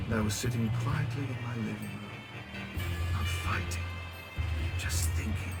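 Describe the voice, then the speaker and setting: A man's voice: mid-range voice, natural voice. A man voicing a weary, bitter war veteran who narrates this game's story in a grave, reflective way. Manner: grave, reflective narration, heated when arguing. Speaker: narrator and main character. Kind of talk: game cutscene narration and dialogue.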